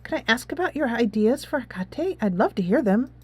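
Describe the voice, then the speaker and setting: A woman voicing a sweet, earnest, eager high school girl from a visual novel game. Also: lively intonation, fairly quick, breathy edge, no room sound. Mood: earnest, hurt. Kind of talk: visual novel character read aloud.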